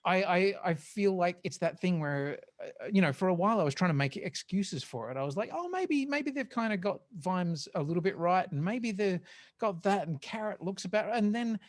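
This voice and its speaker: A podcast host chatting casually in a panel review, with a halting, wry, sweary way of talking. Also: mid-range voice, animated.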